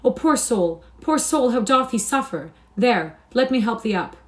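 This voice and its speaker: A woman reading aloud and voicing a soft-hearted gentleman stranger, flustered and pitying. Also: a little high, expressive, moderate pace, pitched above the performer's own voice, lightly acted.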